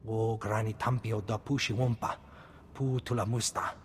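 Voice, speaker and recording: A man's voice: lowish voice, flat delivery, normal speed, slight background noise, natural voice. Man voicing an alien, declaiming in reverb. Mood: declamatory. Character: male alien speaking an invented guttural tongue. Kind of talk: video game alien dialogue acting.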